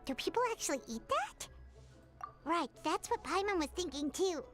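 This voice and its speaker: A woman voicing a tiny, excitable female companion who talks about herself in the third person, in a game. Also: very high voice, moderate pace, over music. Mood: wary. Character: tiny floating female companion, chirpy and nosy. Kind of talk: game voice acting.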